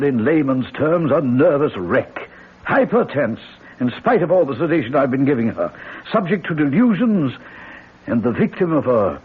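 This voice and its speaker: An actor playing a grave, courteous country doctor in a radio drama, speaking deliberately and solemnly. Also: male voice, on the high side, breathy edge, heavily acted. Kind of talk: old-time radio drama acting. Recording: muffled old radio recording.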